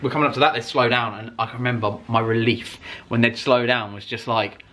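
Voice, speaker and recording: A man telling a personal story like a vlogger, casually and excitably, with emphatic swearing and acted-out reactions. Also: medium pitch, brisk, a little hiss or hum.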